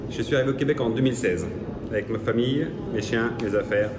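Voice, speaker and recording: A man's voice: medium pitch, quick pace. A man from Alsace answers interview questions fluently and with composure. His voice rings in an echoey room. Background noise sits underneath. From Alsace, France. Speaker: interviewee, cheesemaker.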